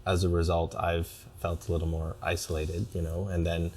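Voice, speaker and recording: A man's voice: low voice, flat delivery, normal speed, darkish tone, slight background noise. A man speaking as a client in couples therapy, reflecting on his relationship with hesitant, careful phrasing. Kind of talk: reflective personal conversation.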